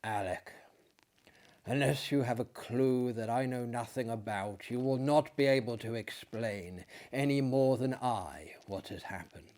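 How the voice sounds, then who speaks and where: A man voicing a weary, haunted friend in a dramatic storybook reading, speaking gravely and deliberately. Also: medium pitch, darkish tone, lightly acted. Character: weary, haunted male friend recounting deaths.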